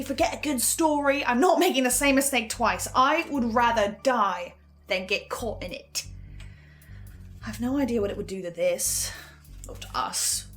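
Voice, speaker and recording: A woman's voice: fairly high pitch, fairly bright tone. A woman playing a troubled but defiant archivist in a horror audio drama, speaking in an earnest, hesitant, reflective way.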